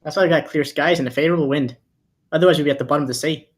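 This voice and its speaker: Woman reading as a very low-voiced fantasy soldier. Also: rapid-fire, lightly acted. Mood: matter-of-fact. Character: soldiers, sailor and officer in a fantasy war script. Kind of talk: scripted table-read acting.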